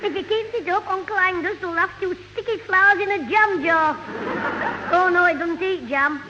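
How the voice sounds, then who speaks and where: An actor playing a cheeky, mischievous schoolboy with a teasing manner in a radio sitcom. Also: male voice, very high voice, normal speed. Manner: teasing, impudent. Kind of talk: 1970s radio sitcom acting. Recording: muffled, noisy old radio, reverberant hall.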